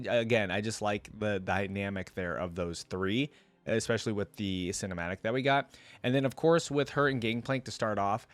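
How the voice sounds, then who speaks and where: A man's voice: lowish voice, no room sound. A man giving casual, rambling reaction commentary as a video host, thinking aloud.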